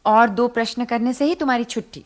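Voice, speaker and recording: A woman acting a mother at lesson time in a radio play. Her voice is fairly high, strict but patient. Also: fairly even intonation, brisk, lightly acted. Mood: chiding, patient. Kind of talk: Hindi radio drama acting.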